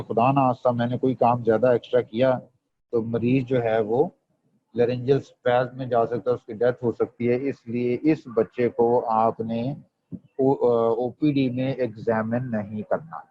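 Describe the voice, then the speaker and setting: A man leads a medical revision class. He fires questions at his students and slips between Hindi and English terms. His voice sits at an ordinary pitch and comes through a dry, muffled, lo-fi microphone. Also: little pitch movement, moderate pace, no echo. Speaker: medical lecturer. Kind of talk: online revision lecture. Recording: dull dry lo-fi audio.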